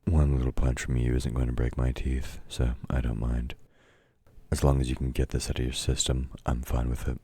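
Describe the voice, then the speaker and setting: An actor playing a domineering, taunting villain in an intimate audio roleplay, gruff and commanding as he mocks a meek listener. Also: level tone, normal speed, no echo, exaggerated voice. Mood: mocking, dismissive. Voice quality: very deep, dark, husky voice. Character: domineering, taunting male villain, grudging temporary ally of heroes. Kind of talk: intimate audio roleplay, scripted dramatic acting.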